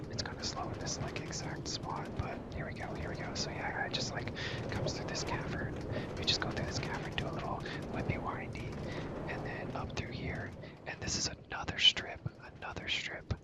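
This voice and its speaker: A young man whispering breathily in a casual, slangy ASMR gameplay commentary, rambling and repeating his phrases. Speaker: ASMR video creator, gamer.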